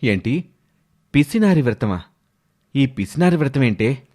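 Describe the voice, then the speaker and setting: A man's voice: mid-range voice, very animated, unhurried, a little mellow. A man playing an indignant, hardworking husband who scolds and questions in a moral story cartoon. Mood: scolding. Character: indignant hardworking husband, protective elder brother. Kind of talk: animated moral story voice acting.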